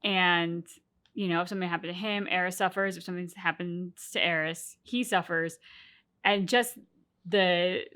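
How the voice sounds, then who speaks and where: A woman co-hosting a game reaction video, talking in an animated, rambling way with many restarts. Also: lowish voice, moderate pace, smooth. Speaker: co-host of a game reaction channel. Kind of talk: casual reaction chat.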